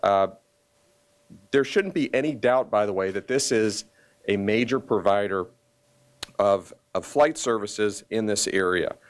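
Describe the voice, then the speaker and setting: A man speaking as a county official at a press conference, deliberate and emphatic, pausing often in a formal statement. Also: on the low side.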